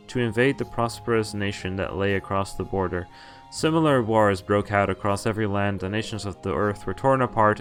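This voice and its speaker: A man streaming a visual novel, reading its text aloud and adding casual, wry asides, often stumbling over names and correcting himself. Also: fairly low pitch.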